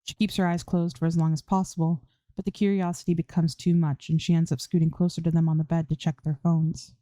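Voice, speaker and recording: A woman's voice: low voice, level tone, fairly quick, warm tone, dry recording. A woman reading fan fiction aloud as scripted audiobook-style narration, close to the microphone.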